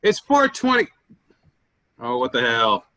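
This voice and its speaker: A man's voice: mid-range voice, wildly swooping pitch, measured pace, pushed and bright, full character voice. A man acting in a comic play reading as a self-centred, oblivious male office worker. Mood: indignant, smug. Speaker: actor in a staged reading.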